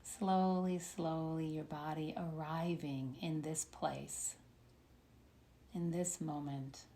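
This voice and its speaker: A woman leading a guided meditation in a soothing, unhurried manner with long pauses. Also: low voice, steady tone, warm tone. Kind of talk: guided meditation, body scan. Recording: faint background noise.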